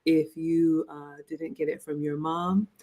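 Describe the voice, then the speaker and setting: Flat, mellow-voiced woman in her forties. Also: low voice, slow pace. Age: 40s. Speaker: host of a reaction webinar.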